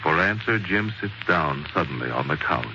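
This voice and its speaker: Old time radio storyteller guy, dramatic n measured, very lively pitch, muffled vintage recording. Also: background noise. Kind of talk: 1940s radio narration, scripted. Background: prominent music.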